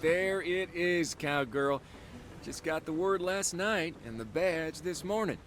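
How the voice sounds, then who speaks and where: An actor playing a supportive old friend who hands out bounty work in a video game, familiar and teasing. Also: male voice, fairly high pitch, slow pace, fairly bright tone, soft background music. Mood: easygoing, teasing. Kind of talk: naturalistic video game acting.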